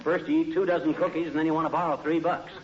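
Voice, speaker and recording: A man's voice: a little high, little pitch movement, fast, natural voice. A man playing a grumpy, wisecracking middle-aged husband in 1940s radio comedy, with a blustering, sarcastic, folksy delivery. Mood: indignant. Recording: dull, noisy 1940s radio recording.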